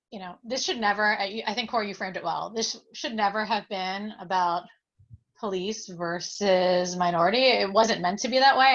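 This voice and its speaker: A woman's voice: medium pitch, fairly bright tone. A woman speaking unscripted as a political candidate on a discussion panel, hesitant and thinking aloud as she goes. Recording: dull lo-fi sound.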